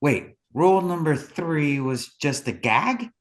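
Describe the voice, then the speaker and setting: A woman's voice: low voice, lively intonation, slow. A woman playing a cheeky, blunt and curious visitor in an audio play. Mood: questioning.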